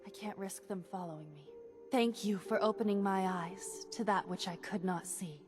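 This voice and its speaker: An actress playing a fervent exiled cultist woman in a video game, preaching nothingness with solemn zeal and dramatic pauses. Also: medium pitch, lightly acted. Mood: solemn resolve. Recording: music behind the voice.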